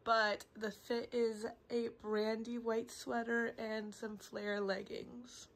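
A woman's voice: on the high side, flat delivery, slow, low-quality recording. A young woman talking casually to her phone camera about her day in a small dorm room, full of "like" fillers. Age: young adult. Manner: chatty, peppered with "like". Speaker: college student vlogger. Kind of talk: casual vlog chat to camera. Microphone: phone at arm's length.

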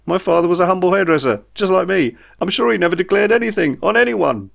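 A man's voice: sits high, expressive, fast, vocal fry, pitched above the performer's own voice, heavily acted. A man voicing a timid, polite, chatty hairdresser who travels through space, in an audiobook story. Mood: nervous, polite. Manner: hesitant, polite, eager to please.

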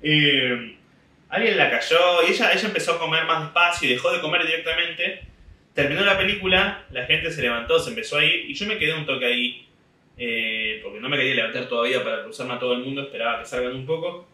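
Young Argentine man, bright and roomy. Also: fairly high pitch, quick pace, far from the mic. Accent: Argentine Spanish. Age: young adult. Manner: rambling storytelling with laughs. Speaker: comedian podcast host. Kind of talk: casual comic monologue. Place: small home room indoors. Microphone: desk mic on stand, camera at distance.

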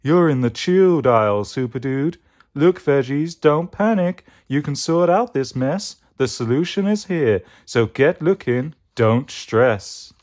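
A man's voice: mid-range voice, animated, measured pace, a little mellow, pitched above the performer's own voice, lightly acted. A man voicing a laid-back, mystical hippie mango in a children's storybook, full of drawling hippie slang. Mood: laid-back, reassuring.

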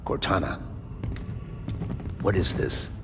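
A man's voice: takes it slowly, noisy background, natural voice. A man playing a stoic super-soldier in a video game, speaking in terse, clipped phrases. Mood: stern. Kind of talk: video game military acting.

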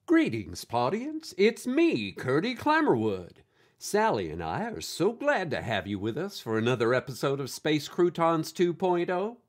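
A man's voice: a little high, huge pitch swings, measured pace, soft background music. A man playing a folksy, eccentric podcast host in an audio drama, with a theatrical way of talking. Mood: upbeat, welcoming. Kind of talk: scripted audio drama hosting.

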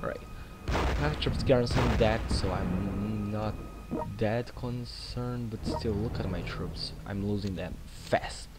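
A man's voice: on the low side, measured pace. Brazilian-accented young man, rambling game commentary. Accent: Brazilian Portuguese. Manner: relaxed, rambling with many fillers and restarts. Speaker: gaming channel host. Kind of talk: casual gameplay commentary. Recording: loud game music and battle sounds behind.

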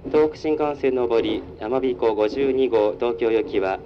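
Male train conductor, mid-pitched, formal and procedural, heard over a muffled onboard public address speaker. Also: measured pace. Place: inside a moving train. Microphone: recorded from the carriage speaker. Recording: muffled train PA, rumbling vehicle noise. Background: train running noise.

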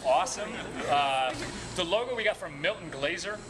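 Slightly high-voiced man telling casual stories. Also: animated, normal speed, a crowd in the background. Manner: chuckles at own anecdotes, colloquial. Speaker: brewery tour guide. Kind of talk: casual humorous storytelling to a group. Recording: noisy room, background music at times.

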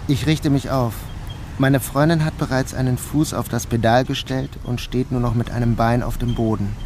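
An actor playing a troubled, dazed man who narrates his own day in a measured, literary radio drama style. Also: mid-range voice, fairly even intonation, a little mellow, slight background noise, natural voice. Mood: downcast. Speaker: first-person narrator.

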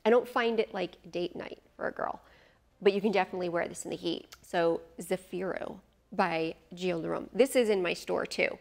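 A woman's voice: fairly low pitch, moderate pace, gravelly. Chatty woman reviewing perfumes to camera. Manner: chatty, enthusiastic, thinks aloud with fillers and asides. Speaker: fragrance reviewer. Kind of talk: casual product review to camera. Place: studio in front of a beach backdrop.

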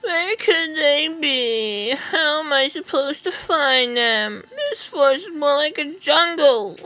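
A woman's voice: sits high, animated, takes it slowly, bright, forceful tone, exaggerated voice, rough recording. A woman voicing a stern, indignant red echidna warrior in a comic dub. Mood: puzzled.

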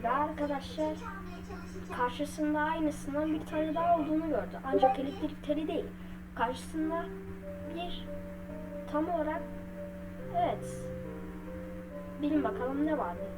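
Female video narrator, high voice, halting delivery with filler words, loud music bed throughout. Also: poor audio. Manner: halting, broken phrases with repeated filler words. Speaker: video creator narrating a horror theory. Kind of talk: unscripted storytelling commentary to viewers.

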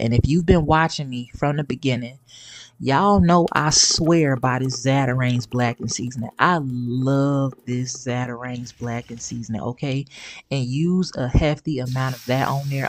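A man narrating a home cooking tutorial in a chatty, folksy way, often calling his viewers y'all. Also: mid-range voice. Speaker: home cook. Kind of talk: casual cooking tutorial voice-over. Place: home kitchen.

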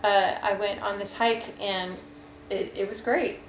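A woman in her thirties talks through her hiking gear in a frank, rambling way, with plenty of "um" and "like." Her voice stays flat and even in pitch. It is caught by a webcam in a bouncy home kitchen with a faint hum of ambience behind her. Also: unhurried. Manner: chatty, rambling, frank, many fillers. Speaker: hiking vlogger. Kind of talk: casual gear review. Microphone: webcam at desk. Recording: echoey room, faint ambience.

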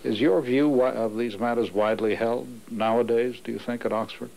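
A man interviewing a student, asking measured, probing questions. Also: mid-range voice, fairly even intonation, gravelly, a little mellow, rough recording. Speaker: documentary interviewer and narrator.

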